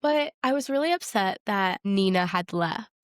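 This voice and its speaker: A woman's voice: mid-range voice, animated, normal speed, dry recording, clean studio sound. A woman co-hosting a podcast, chatting casually about film in an informal, emphatic way with plenty of "like". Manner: informal, emphatic, often says like. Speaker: podcast co-host. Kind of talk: casual film discussion chat.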